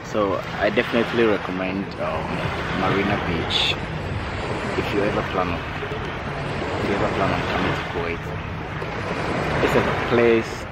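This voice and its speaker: Young man vlogging over loud beach surf. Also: sits low, normal speed, long pauses. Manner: relaxed, rambling, with frequent "you know". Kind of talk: casual selfie vlog. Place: sunny open beach by the sea, outdoors. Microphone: phone camera at arm's length. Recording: outdoor phone audio with loud wind and surf. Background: loud wind and surf.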